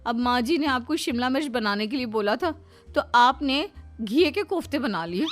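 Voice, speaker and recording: A woman's voice: a little high, expressive, moderate pace, soft background music, close to an everyday voice. A woman playing scolding, sarcastic women of a squabbling household in a cartoon family story. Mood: taunting. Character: bickering, sarcastic daughters-in-law and scolding mother-in-law.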